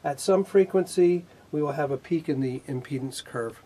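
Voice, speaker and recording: A man in his fifties giving a technical lecture in a measured, explanatory way with pauses mid-sentence, his voice slightly husky. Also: fairly high pitch, dark tone. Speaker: instructor, R&D manager. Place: indoor video studio with whiteboard.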